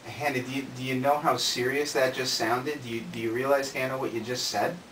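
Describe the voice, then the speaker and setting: Bright-voiced male school counselor, amateur actor, roomy sound. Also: medium pitch, steady tone, a little fast, a little hiss or hum, close to an everyday voice. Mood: concerned. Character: casual, mild male school counselor in his office. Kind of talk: amateur student film acting. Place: small office, indoors. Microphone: distant camera microphone. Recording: roomy, distant camera audio.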